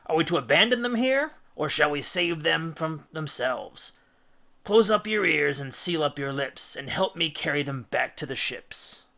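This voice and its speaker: A man's voice: a little high, expressive, a little slow, gravelly, sharp tone, heavily acted. A man doing a commanding hero captain. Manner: commanding, rallying.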